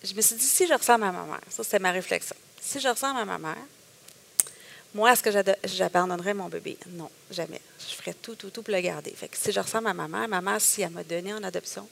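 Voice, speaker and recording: A middle-aged woman with a Québécois accent, speaking in a gravelly, mid-pitched voice. She recounts her story at length, with frequent hesitations and quoted asides. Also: fast, a bit bright, a little hiss or hum. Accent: Québécois French. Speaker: guest. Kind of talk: personal testimony in a conversation.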